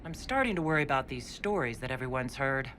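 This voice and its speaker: A woman's voice: rumbling low, animated, fast talker, fairly bright tone. An actress playing a wary, down-to-earth female dwarf hero in a video game cutscene. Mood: wary, uneasy. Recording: echoey hall with background noise.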